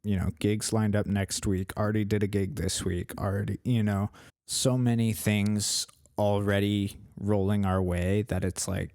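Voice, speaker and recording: A man's voice: fairly low pitch, flat delivery, unhurried, a little mellow, no room sound, studio quality. A man in his twenties co-hosting a podcast, talking in an earnest, rambling way with many fillers. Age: 20s. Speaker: podcast co-host. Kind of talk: casual chat.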